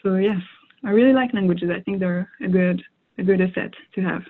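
A woman's voice: medium pitch, brisk. A young woman whose first language is French, answering interview questions thoughtfully with frequent fillers and restarts. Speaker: interview guest. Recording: phone-like narrow sound.

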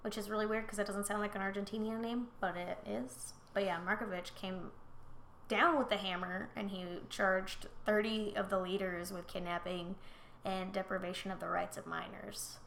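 A young woman co-hosting a casual true-crime podcast, talking bluntly and swearing freely with quick joking asides. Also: mid-range voice, fairly even intonation, vocal fry, fairly bright tone, a little hiss or hum. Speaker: podcast co-host.

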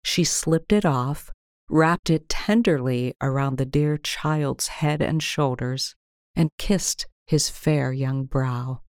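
A woman reads a storybook tale in a low register. Her delivery is slow and gentle, with careful measured phrasing. The sound is dry, with very little room around it. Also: no echo. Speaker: story reader. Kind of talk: scripted storybook narration.

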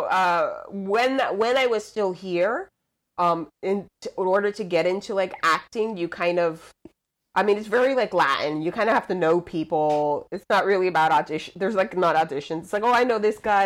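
A young woman from Puerto Rico talks as a guest on a casual podcast, her voice sitting a little low. She rambles and restarts, leaning on "like" and "um" as she thinks aloud. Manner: rambling, hesitant, full of "like" and "um". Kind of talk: casual chat over a call.

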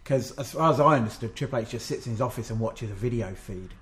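A man's voice: medium pitch, fast talker, a bit bright. A man co-hosting a casual chat, who recaps the night's matches and keeps backing his partner with short yeahs. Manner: affirming, lots of "yeah", recapping events. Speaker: podcast co-host.

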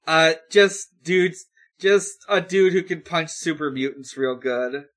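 A man's voice: on the high side, takes it slowly, a bit bright. A man co-hosting a podcast in casual chat, hesitant and often thinking aloud. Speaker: podcast co-host.

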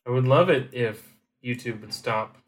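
A man's voice: mid-range voice, normal speed. A man co-hosting a podcast, chatting in an easygoing, hesitant way with ums. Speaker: podcast co-host. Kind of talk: casual chat.

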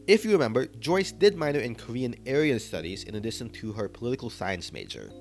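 A man's voice: medium pitch, sing-song, fast, soft background music. A man hosting a podcast, reading scripted narration that links the interview clips. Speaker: podcast host.

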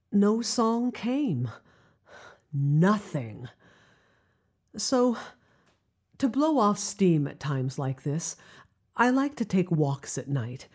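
A middle-aged woman telling a scripted personal story in a confiding, wry manner with deliberate pauses. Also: lowish voice, warm tone. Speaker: writer and narrator of a personal audio drama.

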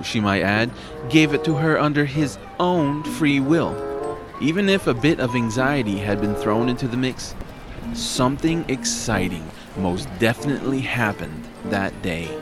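A man narrating a scripted comedy audio drama in a wry, dramatic storytelling manner. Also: medium pitch.

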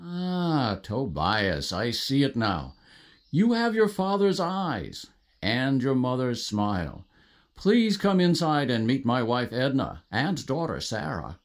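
A man playing a devout, hospitable father of biblical times in an audio drama, speaking in a formal, expressive way. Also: mid-range voice, slow pace, heavily acted. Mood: welcoming. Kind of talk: full-cast audio drama acting.

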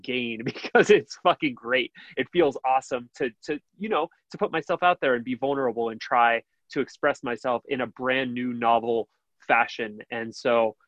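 A man with a high, nasal voice hosting a radio show, chatting casually and rambling with lots of fillers. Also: moderate pace, fairly bright tone, no room sound, muffled recording. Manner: rambling, many fillers and restarts, laughs easily. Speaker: radio host. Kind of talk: casual interview chat.

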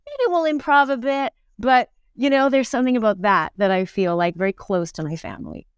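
A woman's voice: a little high, fairly quick, smooth, no room sound, clean studio sound. A middle-aged woman, an actor, chatting casually as an interview guest and thinking aloud as she goes.

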